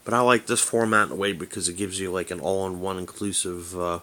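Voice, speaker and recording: A man giving a casual hands-on demonstration as a hobbyist vlogger, halting and rambling as he thinks aloud. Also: sits low, fairly even intonation, pushed and bright.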